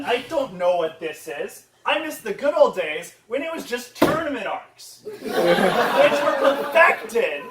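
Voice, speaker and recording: A man giving a casual, profane comic slide lecture as a convention panelist, sounding mock-exasperated. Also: high voice. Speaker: comedy panelist at a fan convention. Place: indoor convention panel room. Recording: echoey room, distant from microphone.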